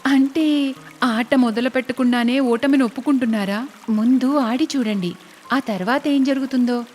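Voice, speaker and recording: A woman's voice: sits high, normal speed, a little mellow, a little hiss or hum, natural voice. A woman voicing a plucky young village vegetable seller in a cartoon story, speaking earnestly and respectfully. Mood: earnest, encouraging. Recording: long reverb, music behind.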